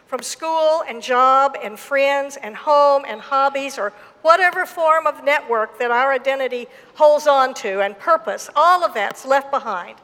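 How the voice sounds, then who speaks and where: A woman pastor from Mississippi with a Southern accent, preaching a sermon and telling a personal story in a slow, emphatic way. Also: high-pitched, sharp tone. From Mississippi, USA. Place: church gym. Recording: long hall reverb.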